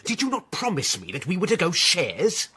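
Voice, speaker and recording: An actor playing a greedy, excitable gambler's accomplice in a period radio drama, with a blustering, insistent manner. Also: male voice, high voice, moderate pace, slightly rough, heavily acted. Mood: demanding, indignant.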